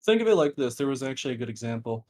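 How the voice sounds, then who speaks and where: A man guest on a podcast with a monotone voice, explaining things in a halting, plain way. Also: medium pitch. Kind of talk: casual interview answers.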